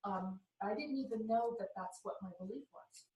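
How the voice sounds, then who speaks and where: A woman's voice: medium pitch, normal speed, warm tone, recorded from a distance. A woman in a group session earnestly sharing a personal experience. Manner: earnest, heartfelt. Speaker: group member. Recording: roomy, from off-mic.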